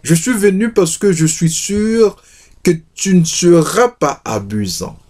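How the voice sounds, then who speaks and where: A man's voice: high-pitched, sing-song, slow pace, darkish tone, voice pushed up, full character voice. A man voicing an earnest, modest young peasant woman in a storybook reading, hesitant and repeating her words.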